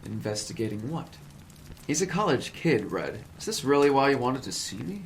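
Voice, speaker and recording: A fussy, smooth-talking professor of an audio drama, played by a man, wordy and lecturing, who turns evasive with hesitant fillers. His voice sits at an ordinary middle pitch. A faint background noise hangs behind him. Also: very animated, normal speed, poor audio. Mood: defensive. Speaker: psychology professor.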